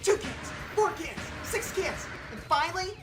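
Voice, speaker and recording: Man acting as brash young anime hero, high voice, sarcastic, swooping pitch, parody dub, music behind. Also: sing-song, unhurried, full character voice. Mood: cocky, sarcastic. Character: cocky, smart-mouthed young male anime hero. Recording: roomy, music behind the dialogue.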